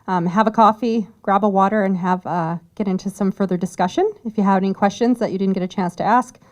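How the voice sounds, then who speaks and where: A woman's voice: mid-range voice, fairly even intonation, fast, a little mellow. A woman moderating a public forum, making friendly, informal closing announcements. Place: community hall.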